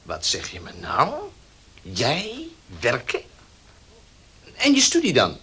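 An actor playing a brisk, forthright young gentleman in a Dutch radio play. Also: mid-range voice, slight background noise, full character voice. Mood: surprised, animated. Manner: animated, forthright. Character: brisk, surprised young gentleman, old acquaintance.

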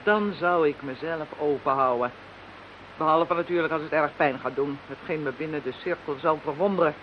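A woman's voice: deep voice, normal speed, natural voice. A woman playing a practical, self-assured witch in a radio drama, explaining things in an assured, instructive way. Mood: calm, instructing. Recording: dull, hissy radio drama recording.

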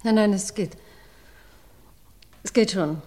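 A woman playing a distressed forty-year-old secretary confessing as a witness in a radio crime play, halting and faltering. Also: medium pitch, warm tone. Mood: remorseful, resolute. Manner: halting, faltering, repeating herself. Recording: long reverberant courtroom hall.

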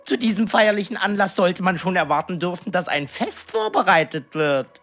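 Fawning fat little goblin-like demon, pompous n flowery, pitch jumps all over, radio play. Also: female voice, big pitch swings, normal speed. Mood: fussy, indignant. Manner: pompous, flowery, servile courtly address. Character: small fat warty green demon servant, fawning, cheeky, self-important. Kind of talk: radio drama acting.

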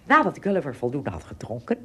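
A woman narrating a scripted children's story expressively, with dramatic pauses and little asides. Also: a bass voice, wildly swooping pitch, husky.

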